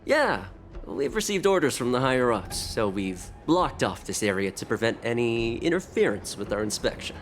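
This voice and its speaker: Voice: medium pitch, very animated, normal speed, faint music behind, close to an everyday voice. A voice actor playing a placating impostor brushing off questions, in a game cutscene. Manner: placating, dismissive. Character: smooth-talking impostor port staffer. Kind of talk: video game cutscene voice acting.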